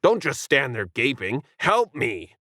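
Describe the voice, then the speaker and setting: A man's voice: medium pitch, wildly swooping pitch, measured pace, pushed and bright, no echo, studio quality, voice pushed up, heavily acted. A man voicing a huge squid-like alien who is pushy, contemptuous and exasperated. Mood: exasperated, scornful.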